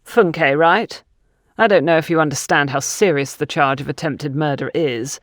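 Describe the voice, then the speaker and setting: Impatient interrogator acted by a woman narrator, brusque and quietly threatening, lowish pitch, audio drama. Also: normal speed, no echo, clean studio sound, close to an everyday voice.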